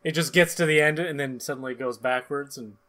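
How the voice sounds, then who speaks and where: A man's voice: mid-range voice, fairly quick, pushed and bright. A man, perhaps in his thirties, hosting a podcast with casual, rambling, jokey chat. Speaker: podcast host and narrator.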